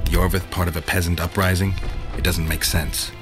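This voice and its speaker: An actor playing a stoic, dry-witted monster hunter in a video game, speaking tersely in a deadpan manner. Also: male voice, low voice, normal speed, music in the background, close to an everyday voice. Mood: terse, businesslike. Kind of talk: video game dialogue acting.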